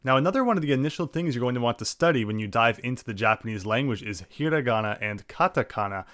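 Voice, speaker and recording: A man teaching a language lesson, explaining clearly and sounding out syllables slowly. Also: medium pitch, expressive, fairly bright tone, no echo, studio quality. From English-speaking. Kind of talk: scripted lesson narration.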